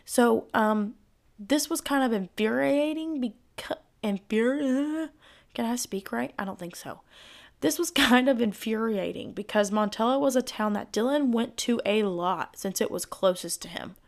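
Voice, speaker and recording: A woman hosting a true crime podcast, her voice hoarse and giving out, narrating casually with chatty, speculative asides. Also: on the high side, lively intonation, a little slow.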